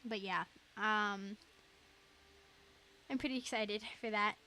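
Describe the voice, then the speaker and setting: A woman's voice: mid-range voice, fairly even intonation, takes it slowly, pushed and bright. A young woman live streamer chatting casually in a giggly, rambling way.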